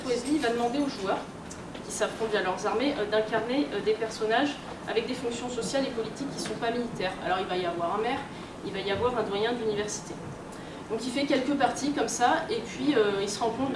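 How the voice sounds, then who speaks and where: A woman giving an informal conference talk in a conversational, hesitant way, with many fillers. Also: a little high. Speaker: lecturer, games researcher and editor. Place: indoor convention talk room. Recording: roomy, noisy convention room, distant mic.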